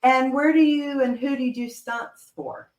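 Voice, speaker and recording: A woman's voice: high-pitched, fairly even intonation, darkish tone. Upbeat woman host in an echoey room. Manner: encouraging, affirming, quick to laugh along. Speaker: podcast host. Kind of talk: casual podcast interview. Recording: roomy echo.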